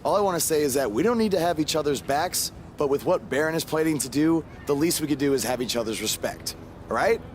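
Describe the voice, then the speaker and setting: An actor playing an earnest, wary and sardonic young indie wrestler, speaking casually in a video game cutscene. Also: male voice, fairly high pitch, expressive, fast talker, slight background noise, close to an everyday voice. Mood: suspicious, annoyed. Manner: casual, dry sarcasm.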